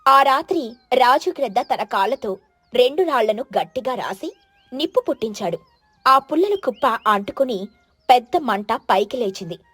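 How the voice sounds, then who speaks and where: A woman's voice: a little high, fairly bright tone, breathy edge, no echo. A woman storyteller reading a scripted children's moral story as narration.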